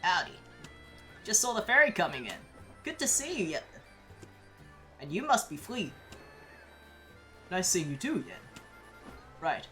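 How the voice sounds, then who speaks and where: A woman's voice: on the low side, big pitch swings, unhurried, long pauses, fairly bright tone, soft background music. A woman voicing a friendly older male carpenter who talks in a homespun drawl, with "howdy" and "ain't". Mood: folksy.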